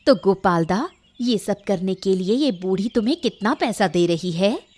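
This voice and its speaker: A woman voicing a spiteful, sharp-tongued village woman in a cartoon story, taunting and nagging. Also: mid-range voice, expressive, normal speed, lightly acted. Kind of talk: Hindi cartoon story voice acting.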